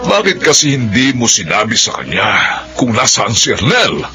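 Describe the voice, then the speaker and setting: A man's voice: mid-range voice, huge pitch swings, normal speed, over music. A man playing a concerned, blunt Filipino uncle in a radio drama, speaking frankly and emphatically. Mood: frank advising. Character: concerned, blunt older-brother uncle advising his sister.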